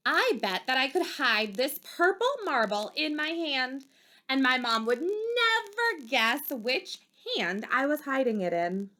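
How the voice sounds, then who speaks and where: Woman, bright slightly high voice, expressive storybook reader, dramatic emphasis. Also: a little slow. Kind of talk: expressive children's bedtime story read-aloud.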